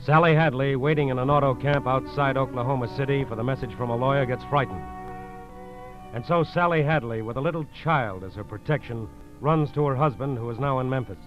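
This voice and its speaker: A man's voice: medium pitch, a little fast. A man narrating a 1940s radio crime drama in a solemn, suspenseful, scripted style. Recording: dull vintage radio recording. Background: dramatic music.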